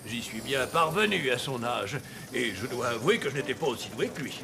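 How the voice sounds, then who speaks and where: A man's voice: mid-range voice, lively intonation, normal speed, gravelly, noisy background. An actor playing a jolly, playful old Father Christmas in a cartoon, with a teasing, avuncular and theatrical delivery. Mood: cheerful, avuncular. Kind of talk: animated cartoon voice acting. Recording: music under the voice.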